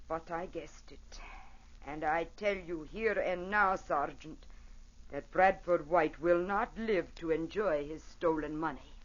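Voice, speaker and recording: An actress playing a vengeful Italian opera singer and wronged mother in a radio drama, with an Italian accent and theatrical, deliberate delivery. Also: low voice. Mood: bitter resolve. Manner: theatrical, deliberate, formal phrasing. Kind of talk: old-time radio drama acting. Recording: dull vintage radio recording.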